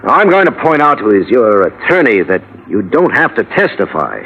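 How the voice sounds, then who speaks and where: An actor playing a formal courtroom examiner in an old-time radio drama, questioning in a deliberate way. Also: male voice, lowish voice, big pitch swings, slight background noise. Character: formal male examiner questioning the accused on the stand. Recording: dull vintage radio recording.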